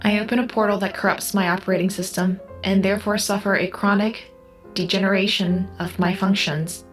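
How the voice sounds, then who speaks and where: A woman playing a calm, literal artificial intelligence in an audio drama, speaking in a measured, formal, unemotional way. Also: mid-range voice, soft background music, close to an everyday voice. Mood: clinical, resigned. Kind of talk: scripted audio drama acting.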